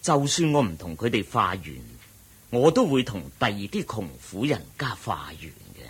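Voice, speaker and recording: An actor playing a solemn, humble ascetic Buddhist monk who teaches patiently in measured Hong Kong Cantonese, in radio drama style. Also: male voice, fairly low pitch, very animated, breathy edge, heavily acted. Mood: gentle, firm. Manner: measured, deliberate, didactic.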